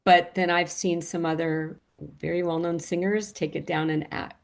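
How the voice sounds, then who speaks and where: Middle-aged american woman, opera singer chatting away, slightly low voice, tells stories and does the voices. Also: moderate pace, vocal fry. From United States. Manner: animated storytelling, acts out quotes, frequent laughs. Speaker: classical mezzo-soprano guest. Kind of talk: casual interview chat.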